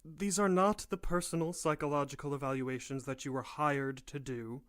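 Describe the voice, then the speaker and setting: An actor playing a persuasive professor who runs a research lab, polite and coaxing, in an audio drama. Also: male voice, a little high, steady tone, moderate pace, a bit bright, natural voice. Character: smooth-talking, persuasive male professor running a research lab.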